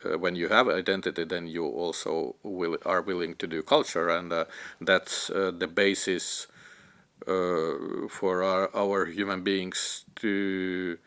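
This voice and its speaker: A young man who grew up in Finland with Ukrainian as his first language, speaking haltingly in a documentary interview with many fillers and restarts as he searches for words. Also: deep voice, level tone, fairly bright tone, clean tone. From Ukraine, raised in Finland. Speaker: interviewee, cellist and filmmaker.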